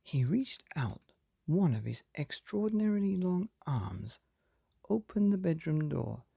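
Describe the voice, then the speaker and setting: A man reading a children's bedtime story expressively, with deliberate dramatic pauses, as if speaking directly to young listeners. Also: on the high side, sing-song, vocal fry, mellow, breathy edge, no room sound. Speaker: storyteller, podcast reader.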